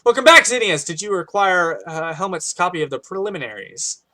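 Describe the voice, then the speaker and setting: A man with a hoarse voice reading the formal lines of a temple priest in a game. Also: sits high, lively intonation, a little fast, pushed and bright, full character voice. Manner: formal, read aloud.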